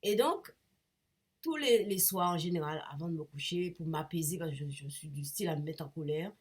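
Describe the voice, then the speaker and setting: Woman, low husky voice, has a cold, casual rambling talk, hesitant restarts. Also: fairly quick. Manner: hesitant, many restarts and fillers, searching for words. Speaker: painter and vlogger.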